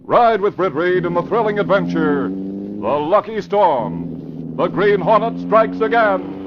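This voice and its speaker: A man's voice: high-pitched, wildly swooping pitch, slow pace, noisy background. A man announcing and narrating an old-time radio show with an emphatic, theatrical delivery. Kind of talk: 1940s radio announcing, dramatic scripted narration. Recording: dull vintage radio broadcast recording.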